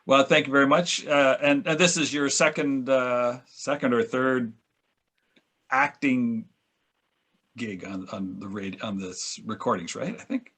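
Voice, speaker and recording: A man hosting a casual discussion and putting questions to guests in a jocular, halting way. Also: mid-range voice, bright, forceful tone, husky. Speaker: discussion host. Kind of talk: casual chat, interviewing guests.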